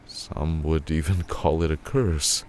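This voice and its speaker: An actor playing a reserved, polite, awkward male cafe regular in an audio drama, speaking haltingly. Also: extremely low pitch, steady tone, a little mellow, a little hiss or hum. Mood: hesitant, guarded. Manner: hesitant, halting. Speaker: coffee shop regular.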